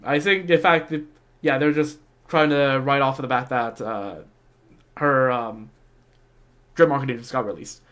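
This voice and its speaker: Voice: mid-range voice, moderate pace, pauses often, fairly bright tone. A streamer chatting informally about a video game in a fan-like, slangy way. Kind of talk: casual livestream chat mixed with reading game dialogue aloud.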